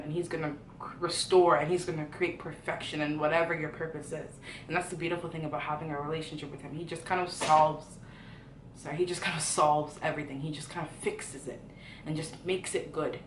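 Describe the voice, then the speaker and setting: Low-voiced young woman in an echoey room. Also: slight background noise. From Ghana. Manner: earnest, conversational, poses questions to listeners. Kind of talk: casual devotional talk to camera.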